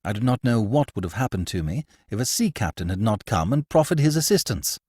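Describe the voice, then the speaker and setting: A man's voice: lowish voice, sing-song, brisk, darkish tone, no room sound, clean studio sound, voice pushed up. A man reading a story, voicing a shaken honest young man in earnest, old-fashioned formal speech. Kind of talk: audiobook character voice.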